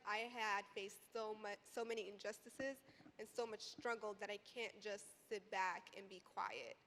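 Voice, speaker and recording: A woman in her twenties from Iraq speaking earnestly and conversationally as a panelist, often saying "like" and "you know". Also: medium pitch. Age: 20s. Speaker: youth advocacy panelist and educator. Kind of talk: panel discussion, personal and conversational. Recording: reverberant hall with background noise.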